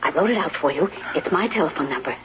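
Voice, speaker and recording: A woman's voice: low voice, animated, fast talker, background noise. An actress playing a loyal, motherly old nurse, earnest and protective, in a muffled 1970s radio drama. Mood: reassuring. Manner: soothing, earnest.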